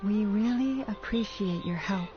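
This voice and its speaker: A woman's voice: medium pitch, unhurried, music in the background, natural voice. Casting: actress as kindly, soothing young woman, courteous anime-game ally, slightly muffled sound. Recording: muffled game capture, faint ambience.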